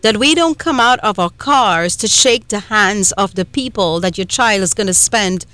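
A woman from Trinidad hosts a radio talk show in a chatty, emphatic way, often pausing on fillers. Her voice sits mid-range and sounds close and dry on a studio microphone. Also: mid-range voice, lively intonation, bright, forceful tone, clean tone, no echo. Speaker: radio host.

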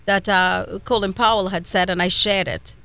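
A Trinidadian woman hosting a live radio talk show, chatty with quick asides. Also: medium pitch, steady tone, creaky, pushed and bright. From Trinidad and Tobago.

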